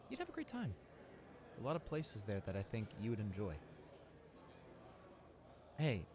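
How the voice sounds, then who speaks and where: A young man playing a friendly, eager high school senior in a roleplay audio drama, rambling enthusiastically with stammers and repeats. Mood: tentative, friendly. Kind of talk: roleplay audio drama, casual one-sided conversation. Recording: clear background noise, ambience.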